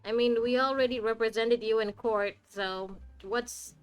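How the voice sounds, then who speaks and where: Woman game streamer, higher-pitched, casual commentary, chatty, playful, faint music underneath. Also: steady tone, measured pace, clear, resonant tone. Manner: chatty, playful, reacting aloud. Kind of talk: casual live gameplay commentary.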